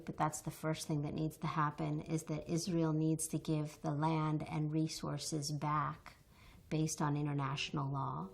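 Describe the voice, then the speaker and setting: A woman's voice: low voice, darkish tone. A woman from the United States answering interview questions in an earnest, passionate way, often restarting and repeating words as she builds her point. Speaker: interview guest, activist.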